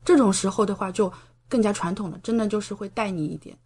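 A woman's voice: medium pitch, fast talker, slightly rough. Chatty woman telling stories in an interview. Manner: chatty, animated storyteller who re-enacts speech and checks in with the listener. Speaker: podcast guest, medical doctoral student. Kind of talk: casual interview storytelling.

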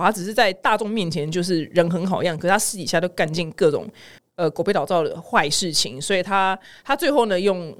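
A woman podcast host with a Taiwanese Mandarin accent, commenting on the news in a chatty, informal, slangy way. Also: lowish voice.

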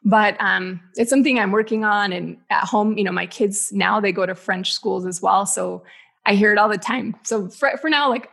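A woman's voice: medium pitch, normal speed, gravelly, a bit bright. A woman in her thirties whose first language is Spanish, a guest on a podcast talking in a reflective way with many fillers. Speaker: guest, business mentor. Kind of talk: casual podcast conversation.

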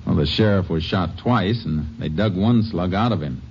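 An actor playing a calm, shrewd Texas Ranger investigator in a 1950s radio drama, talking in a steady, deliberate way. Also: male voice, low voice. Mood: calm, probing. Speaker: lead actor. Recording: dull old radio recording with faint hiss.

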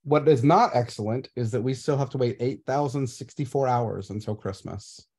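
A man co-hosting a podcast with a mid-range voice and a cheerful, teasing way of talking. His delivery stays relaxed and conversational. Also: mid-range voice, moderate pace, husky. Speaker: podcast co-host. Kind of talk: casual podcast chat.

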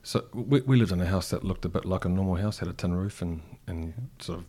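Man, middle-aged, New Zealand accent, very deep voice, thoughtful, stop-start phrasing. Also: quick pace, warm tone. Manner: thoughtful, frequent restarts and fillers. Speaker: interview guest, cafe co-founder. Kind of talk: reflective conversational interview.

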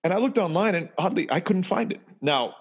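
A man who grew up in Turkey, telling his life story in a relaxed, conversational way, sometimes restarting and repeating words. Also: high voice, brisk. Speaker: interview guest. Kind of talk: storytelling personal history.

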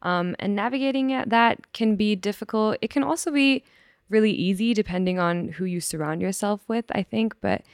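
A woman in her twenties, a student guest, talking in a reflective, measured way. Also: mid-range voice, no room sound, clean studio sound. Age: 20s. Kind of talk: casual conversation.

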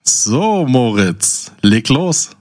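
Mid-pitched male podcast host in his thirties. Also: very animated, very slow, darkish tone. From Baden-Württemberg, Germany. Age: 30s. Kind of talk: casual chat with mock-formal introductions.

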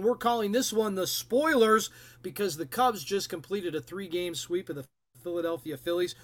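Sports podcast guy reading the intro, pretty high voice, upbeat announcer vibe. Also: fairly bright tone. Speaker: podcast co-host.

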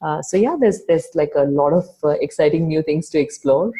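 A woman's voice: low-pitched, fairly quick, dark tone. A woman from Chennai, a Tamil speaker, answering podcast interview questions thoughtfully as an entrepreneur guest. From Chennai, India.

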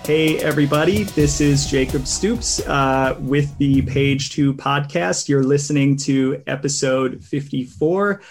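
A man hosting a podcast in relaxed, rambling casual chat, with a tenor voice and many fillers. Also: mid-range voice, a little slow, few pauses, soft background music. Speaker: podcast host.

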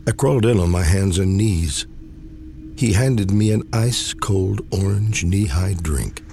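Slow, gravelly low-voiced man reciting poetry. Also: dark tone, slight background noise. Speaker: poetry reader. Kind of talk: literary poem recitation.

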